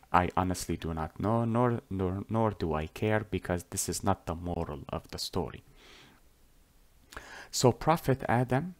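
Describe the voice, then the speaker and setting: A man whose first language is Arabic, with a lowish voice, tells a religious story at a very slow, measured pace. He pauses often, hunts for his words and corrects himself as he goes. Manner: deliberate, halting, with frequent pauses and self-corrections. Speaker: religious storyteller teaching on a whiteboard. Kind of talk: explanatory storytelling lesson.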